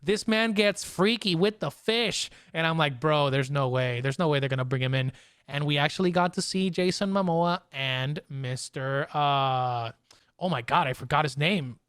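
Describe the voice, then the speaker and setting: A man hosting a casual pop culture review podcast, talking in an excitable, slangy fan style. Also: a little high, normal speed, clean tone, no room sound, studio quality. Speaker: podcast host.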